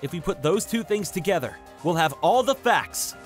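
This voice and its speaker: Confident teenage boy arguing his case played by a man, slightly high voice, soft music underneath. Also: lively intonation, moderate pace. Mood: assured. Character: confident, earnest teenage male student making his case. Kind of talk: anime fan dub acting.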